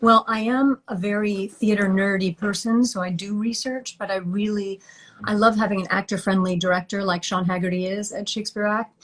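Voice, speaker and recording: Laughing actress guest on a video call. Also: female voice, mid-range voice, steady tone, brisk, poor audio. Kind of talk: interview answers.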